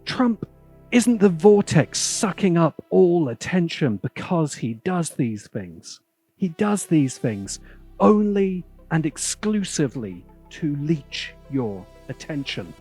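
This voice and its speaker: A British man delivers a scripted video essay over background music. His voice is fairly high and slightly husky, with a rough edge to it. He speaks with sardonic, deliberate theatricality. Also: very animated, darkish tone.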